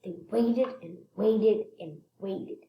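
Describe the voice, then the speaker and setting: A woman reading a children's picture book aloud expressively, stressing words and pausing to point things out. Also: mid-range voice, creaky, reverberant, lo-fi sound. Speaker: read-aloud storyteller.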